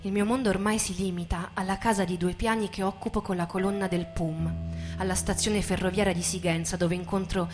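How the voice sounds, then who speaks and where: A woman giving a dramatic literary stage reading, expressive and measured, with deliberate pauses. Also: medium pitch. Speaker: stage performer reading a narrative. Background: live musical accompaniment with guitar.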